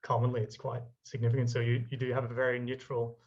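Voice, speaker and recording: A male podcast host interviews his guest in a measured, encouraging manner. His delivery barely moves in pitch. The sound comes through a muffled video call. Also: monotone, darkish tone.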